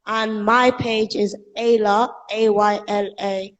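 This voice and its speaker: A woman's voice: medium pitch, little pitch movement, slow, dull audio. A young adult woman hosting a casual live interview, chatting informally and a little hesitantly. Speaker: show host, interviewer. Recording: echoey, long room reverb.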